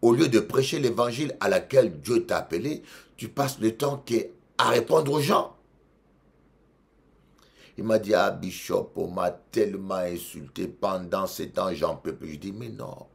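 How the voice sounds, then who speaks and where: Congolese-accented male bishop, low voice, emphatic sermon delivery with repeated lines. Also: animated, a little slow, vocal fry. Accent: Congolese French. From Democratic Republic of the Congo. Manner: emphatic, swings between loud exhortation and quiet asides, repeats phrases. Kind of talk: preaching sermon.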